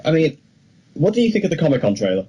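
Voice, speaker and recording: A man's voice: a little high, sing-song, quick pace, warm tone, breathy edge, dull audio. A man co-hosting a podcast, giving his opinions on films in casual, informal conversation. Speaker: podcast co-host. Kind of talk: casual film discussion.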